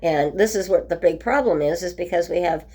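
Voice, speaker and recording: A woman talking casually in a home video update, her voice rough from a cold, full of ums and restarts. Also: fairly low pitch, a little fast, dark tone. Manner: chatty, many ums and restarts. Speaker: vlogger. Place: at home by a window.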